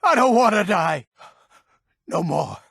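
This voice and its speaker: An actor playing a grumpy, defiant old man in a fantasy video game, with a raspy, gravelly voice and a gruff, theatrical, emphatic delivery. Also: high voice, sing-song, slow, fairly bright tone, no echo, clean studio sound, heavily acted. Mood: defiant, desperate. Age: older.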